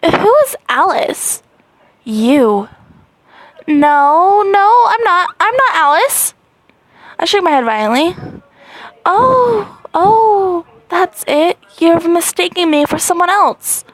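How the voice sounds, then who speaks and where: A woman reading a story game aloud, in an excitable, dramatic way with squeaky rises. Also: takes it slowly, no room sound. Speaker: gaming channel host.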